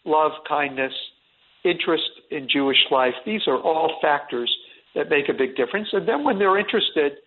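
A middle-aged man speaking as an interview guest, earnest and rambling, restarting his sentences often. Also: a little high. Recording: tinny phone line.

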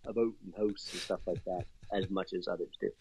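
A man's voice: low voice, steady tone, brisk, darkish tone, husky, no room sound. A man from Canada, a guest in a casual interview, upbeat and chatty with plenty of fillers and laughter.

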